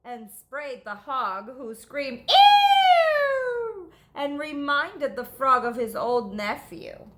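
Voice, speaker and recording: Female teacher, higher voice, unhurried and expressive storybook read-aloud for young children, faint noise behind. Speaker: teacher reading to second graders.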